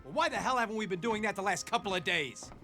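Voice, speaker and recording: An exasperated soldier in a war video game. His voice is high and sharp, swinging widely as he speaks in a blunt, excitable way. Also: fast talker, faint music behind. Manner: blunt, agitated. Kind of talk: video game cutscene dialogue.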